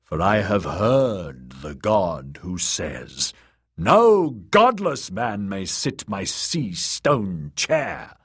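A gaunt prophet of a sea god, acted by a male narrator, preaching with solemn command. He takes his time over each phrase, and his pitch rises and falls widely with zeal, in the manner of a fantasy storybook. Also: sing-song, very slow, fairly bright tone, husky, full character voice. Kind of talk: dramatised audiobook character voice.

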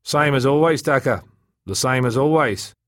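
A man's voice: medium pitch, moderate pace, dry recording, clean studio sound, voice pushed up, close to an everyday voice. A man voicing a weary, stubborn spaceman, firm and plain-spoken, in an audiobook story. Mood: resigned. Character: weary, stubborn spaceman, still hoping to leave.

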